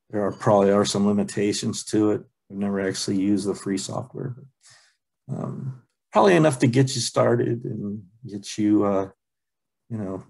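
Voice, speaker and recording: A man presenting a software training webinar over a video call, relaxed and halting, with many ums and uhs. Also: fairly low pitch, gravelly, poor audio. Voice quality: plain, unexciting voice. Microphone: computer mic on a video call.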